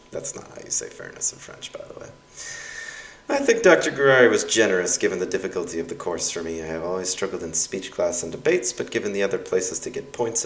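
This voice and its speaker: A deep-voiced young man, a university instructor whose first language was Russian, reads his course evaluations aloud and riffs on them with wry, self-mocking asides. He speaks casually and loosely, with plenty of fillers. The sound is roomy and distant from the mic.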